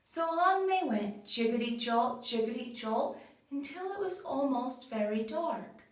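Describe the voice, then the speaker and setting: A woman narrating a children's folktale in a measured way, with sing-song refrains. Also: high voice, expressive. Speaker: storyteller. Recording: very roomy echo, far from mic.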